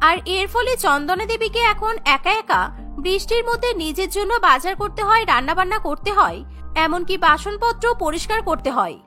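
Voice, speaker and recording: A woman's voice: extremely high pitch, sing-song, brisk, pushed and bright, faint music behind. A woman narrating a scripted cartoon story as a storyteller.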